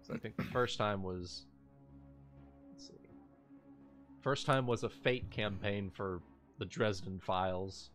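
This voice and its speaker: A man talks casually with fellow tabletop players in a fairly deep voice. He takes his time and swears freely. Faint music plays behind him. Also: fairly even intonation, slow, long pauses, a bit bright. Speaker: tabletop role-playing game player. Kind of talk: casual chat.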